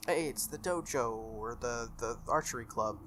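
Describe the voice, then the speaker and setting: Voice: medium pitch, moderate pace, a little hiss or hum. A let's-play host reading a visual novel aloud and adding offhand, chatty commentary. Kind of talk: scripted reading with casual commentary. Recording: music under the voice. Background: soft game music.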